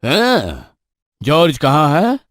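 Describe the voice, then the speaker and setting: A man acts out a bossy gang elder in a horror audio story. His fairly high voice swings widely in pitch as he taunts and scoffs, taking his time in a dry, close recording. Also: wildly swooping pitch, slow pace, fairly bright tone, no echo, heavily acted. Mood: mocking. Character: swaggering gang elder Raju, mocking and bossy.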